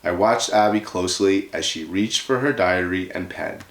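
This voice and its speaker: A man reading a children's storybook aloud as a guest reader, in an expressive teacherly way. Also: low voice, moderate pace, echoey room.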